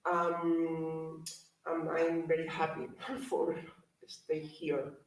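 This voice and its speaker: Woman from Spain, slow and flat, distant and very echoey. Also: sits low. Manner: slow, hesitant, many fillers. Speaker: participant. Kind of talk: interview answers. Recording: very echoey, from far off.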